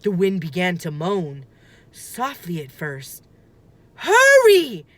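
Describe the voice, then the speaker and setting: A woman reading a children's book aloud with expressive, deliberate, dramatic phrasing. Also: on the low side, no echo. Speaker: storybook reader.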